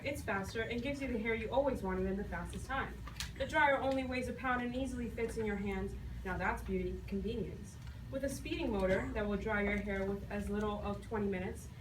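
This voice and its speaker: A woman plays a measured 1920s radio presenter reading from a script. Her pitch is ordinary for a woman. The amateur recording is echoey, a bit distant and clearly noisy. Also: lightly acted. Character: polished, probing 1920s radio advertiser and interviewer.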